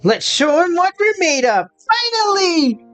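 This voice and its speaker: A woman's voice: very high voice, sing-song, unhurried, a bit bright. A woman game streamer giving playthrough commentary, reading dialogue aloud and reacting in a playful, rambling way. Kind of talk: playthrough commentary, voicing on-screen text and reacting. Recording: game music behind.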